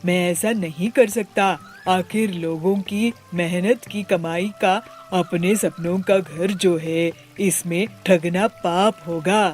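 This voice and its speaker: A man voicing an honest young male pigeon woodcutter who speaks calmly and patiently. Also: high-pitched, slow pace, few pauses, faint music behind. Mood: earnest. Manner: calm, principled.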